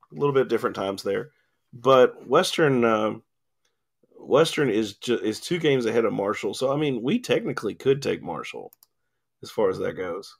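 Male sports radio host, gravelly, loose and rambling delivery, studio mic, voice wearing thin. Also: medium pitch. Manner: rambling, lots of ums and filler. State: losing his voice, coughing. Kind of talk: casual sports radio chat. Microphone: studio mic with cough button.

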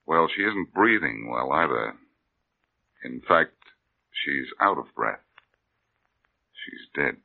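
A man's voice: rumbling low, expressive, slow, gravelly, pauses often, full character voice. An actor playing a wisecracking male detective who questions people with dry sarcastic quips, in 1940s radio acting. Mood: probing. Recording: tinny lo-fi old radio recording with faint hiss.

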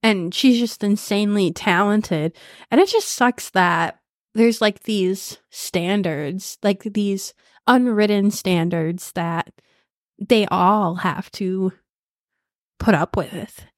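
A young woman co-hosting a podcast, chatting in a relaxed, rambling way with many "like"s. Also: mid-range voice, slow, dry recording, studio quality. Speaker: podcast co-host. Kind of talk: casual chat.

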